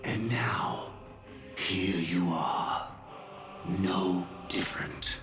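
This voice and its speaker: A man playing a solemn, courteous, fatalistic antagonist in a video game, speaking in formal, measured pronouncements. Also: sits low. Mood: cold, fatalistic. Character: grave, courteous, fatalistic male antagonist passing judgment. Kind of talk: dramatic video game voice acting. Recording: echoey, distant, music underneath. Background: game music.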